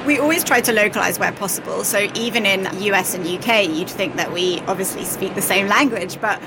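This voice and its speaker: A woman's voice: medium pitch, brisk. Woman executive, explanatory, outdoor recording with traffic noise. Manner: businesslike, explanatory. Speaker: children's media executive. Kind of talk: interview. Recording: noisy outdoor street recording.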